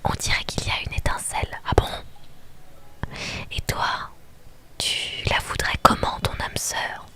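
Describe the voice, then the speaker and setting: A woman whispering in a breathy ASMR style as she plays a story game, with playful, teasing asides to her viewers. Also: unhurried, long pauses, pushed and bright. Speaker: streamer reading and reacting to a dating story game. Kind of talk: whispered ASMR game playthrough with live commentary.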